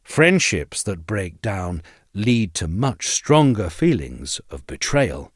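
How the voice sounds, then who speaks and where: A man with a lowish voice reads a formal essay aloud. His delivery is measured and articulate, with lively, wide-moving intonation. The sound is dry and close. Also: slightly rough, no echo. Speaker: essay narrator. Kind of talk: scripted audio essay narration.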